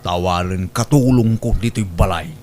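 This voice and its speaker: A man's voice: sits low, normal speed, a little mellow, a little hiss or hum, close to an everyday voice. An actor playing a bossy, practical old man in a comedy drama, speaking matter-of-factly. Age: older. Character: bossy, practical old senior-citizen sweetheart. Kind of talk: Ilocano comedy drama acting.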